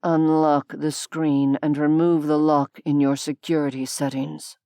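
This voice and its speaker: A woman doing a low, cold male demon. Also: flat delivery, unhurried, mellow, dry recording, studio quality. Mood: cold detached. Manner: formal and detached.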